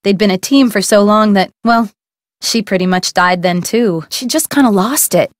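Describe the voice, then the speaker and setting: Woman as a folksy gossipy cafe owner, game character, dry studio sound, mid-pitched voice. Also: moderate pace, no room sound, lightly acted. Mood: chatty. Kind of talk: video game voice acting.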